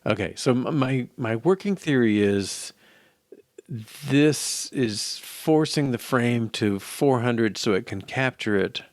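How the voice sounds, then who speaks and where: A man's voice: medium pitch, lively intonation, slow, dry recording. An older man thinking aloud as he programs, in a casual, hesitant mutter to himself. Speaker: programmer live-coding a mobile app. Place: small indoor room at a desk.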